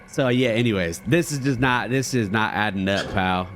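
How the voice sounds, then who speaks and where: A man's voice: on the low side, moderate pace, a bit bright, slight background noise. An American man doing casual, slangy game commentary, thinking aloud as he plays. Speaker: gaming streamer.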